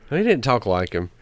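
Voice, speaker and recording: A man's voice: mid-range voice, no echo. A man, co-hosting a casual podcast with short, dry one-liners. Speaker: podcast co-host. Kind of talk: casual chat.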